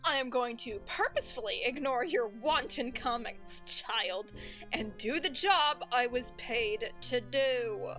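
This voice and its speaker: Woman acting gloomy female narrator, radio play, high forceful voice, theatrical, stern, easily exasperated. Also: slow pace, dull audio, soft background music. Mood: stern, huffy.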